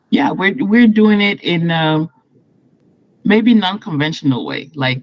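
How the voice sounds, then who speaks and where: A woman's voice: sits low, darkish tone, no room sound. Woman in her 30s on a video call, kinda dull lo-fi sound, dry, rambles and restarts a lot. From Morocco. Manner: chatty, explains at length with restarts. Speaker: guest.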